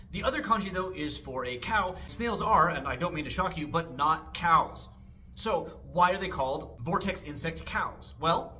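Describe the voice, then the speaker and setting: Bright-voiced man explaining with dry humor. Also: on the high side, slight background noise. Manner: wry, joking, lively asides. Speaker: language lesson host. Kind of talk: casual explainer lesson.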